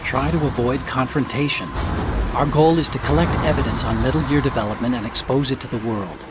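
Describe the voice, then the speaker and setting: An American actor playing a brainy, self-assured hacker in a video game, explaining things in an earnest, confident manner. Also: male voice, mid-range voice, a little fast, lightly acted. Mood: briefing, instructive. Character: brainy, self-assured male tech-support partner and hacker. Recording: heavy rain and background noise.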